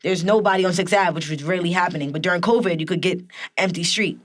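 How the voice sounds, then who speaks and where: Woman, young adult, raspy, casual, candid, slangy interview guest. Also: on the low side, fast. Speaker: guest musician and rapper.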